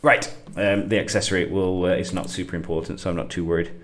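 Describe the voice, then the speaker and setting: A middle-aged man, getting over a cold and a bit sniffly, talking through a game on a livestream in a halting way, thinking aloud with lots of fillers. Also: deep voice, steady tone. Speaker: gaming livestream host. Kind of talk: casual gameplay commentary.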